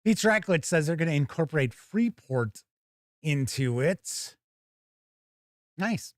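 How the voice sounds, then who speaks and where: Man, higher-pitched voice, very lively intonation, chatty show host, wry asides, dry close sound. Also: unhurried, no room sound. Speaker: host of a tabletop gaming news show. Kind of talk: casual live news commentary, reading blurbs and viewer comments.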